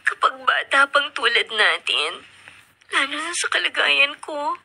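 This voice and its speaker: A woman's voice: sits high, sing-song, measured pace, creaky, sharp tone, exaggerated voice. A woman with a Filipino accent playing an emotional young student mother, polite and full of longing, in a radio drama. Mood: anguished, crying.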